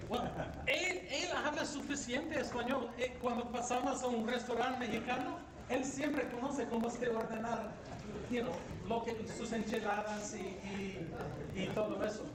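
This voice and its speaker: A man's voice: extremely high pitch, fairly even intonation, normal speed, few pauses, a crowd in the background. A middle-aged man who is a bishop, a native English speaker giving a jovial conference talk with questions, mixing Spanish and English. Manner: jovial storyteller, asks "right?" often. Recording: echoey hall, distant mic, background noise.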